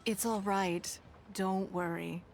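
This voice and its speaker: An actress playing a kindly, elegant woman in a video game, an indulgent auntie who speaks in a measured, gentle, genteel way. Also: medium pitch, fairly even intonation, gravelly, a little hiss or hum. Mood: gentle, reassuring. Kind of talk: scripted game voice acting. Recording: music behind the voice.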